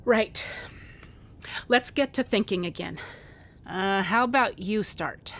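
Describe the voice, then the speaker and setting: A woman's voice: mid-range voice, takes it slowly. A woman playing a wry, grieving police investigator in a contemporary radio drama. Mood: brisk, thoughtful.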